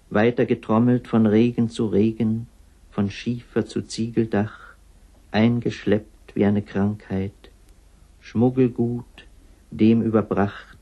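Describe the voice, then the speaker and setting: An actor reciting poetry solemnly for radio as a sombre, troubled man with a gravelly voice. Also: on the low side, lo-fi sound, heavily acted. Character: sombre, troubled poetic speaker.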